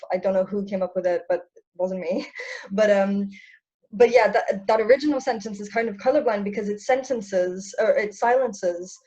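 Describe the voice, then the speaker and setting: A woman's voice: mid-range voice, mellow, highs cut off. A young woman co-hosting an online seminar, explaining ideas thoughtfully with frequent fillers and restarts. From French and Irish background. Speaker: seminar co-host. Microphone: video call.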